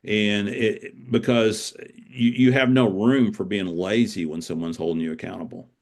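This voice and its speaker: Older man, deep-pitched voice, earnest, reflective, conversational interview guest, repeats words for emphasis. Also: fairly even intonation, normal speed, a little mellow.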